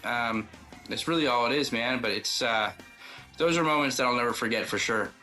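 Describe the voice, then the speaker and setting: Bright-voiced man in casual interview. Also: medium pitch, normal speed, faint music behind, low-quality recording. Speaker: country singer-songwriter guest.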